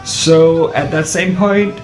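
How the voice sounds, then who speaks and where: A man's voice: fairly high pitch, steady tone, slow pace. A man, a startup co-founder speaking casually and bluntly. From Denmark. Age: 20s. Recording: echoey room.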